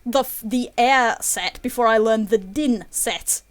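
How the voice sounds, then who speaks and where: A woman's voice: a little high, a little slow. A young woman chatting casually while streaming a game, swearing freely and laughing often. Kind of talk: casual chat while gaming.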